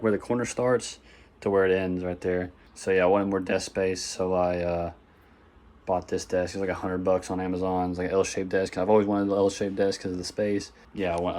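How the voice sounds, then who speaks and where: A young man, hoarse, talking casually and swearing as he shows his gaming setup in a phone-recorded vlog. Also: sits low, a little mellow. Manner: casual, swears often, lots of fillers. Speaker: gaming vlogger. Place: bedroom at home. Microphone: phone camera.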